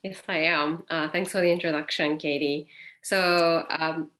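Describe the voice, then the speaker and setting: Panel speaker: woman in her forties, low voice, talking from experience over an echoey video call. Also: fairly even intonation, measured pace, a bit bright. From Japan and the Netherlands. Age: 40s. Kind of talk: personal account in an online business panel.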